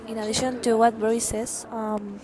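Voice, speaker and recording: A woman's voice: a little high, little pitch movement, normal speed, noisy background. An eighteen-year-old woman, a student of English teaching, presenting in a class video with frequent hesitant fillers.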